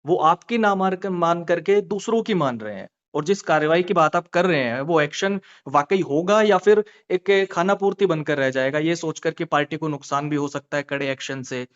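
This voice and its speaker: A man's voice: fairly high pitch, normal speed, hardly any pauses. A man working as a political journalist, pressing with questions and rephrasing them persistently. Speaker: journalist, interviewer. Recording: dull, band-limited call recording.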